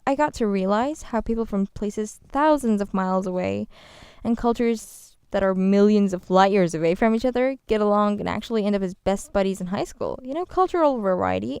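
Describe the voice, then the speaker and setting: A chatty woman guest in a radio studio, talking in a bubbly, rambling way with a gravelly texture under a smooth, clear tone. The sound is close and dry. She is from Ghana. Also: medium pitch, a little mellow, dry recording. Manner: chatty and bubbly, with frequent restarts. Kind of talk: casual interview chat. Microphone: studio microphone.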